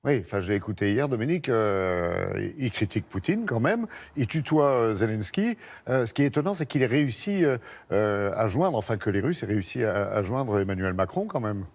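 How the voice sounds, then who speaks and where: A man's voice: lowish voice, sing-song, normal speed, a little mellow. A man with a French accent from France presenting morning news in an informal, conversational and slightly hesitant way.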